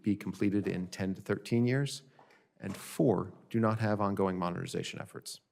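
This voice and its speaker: A man with a low-pitched voice reads a prepared statement at an official hearing. He speaks as a government audit director giving testimony, keeping a formal, measured and matter-of-fact delivery. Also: gravelly. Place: legislative hearing room.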